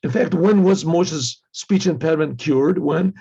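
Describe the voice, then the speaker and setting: A man's voice: fairly high pitch, measured pace, warm tone, highs cut off. A man teaching an informal religious lesson as a rabbi, reading Hebrew text and translating it conversationally, repeating phrases as he goes. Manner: conversational, acts out dialogue, repeats phrases, frequent throat clearing.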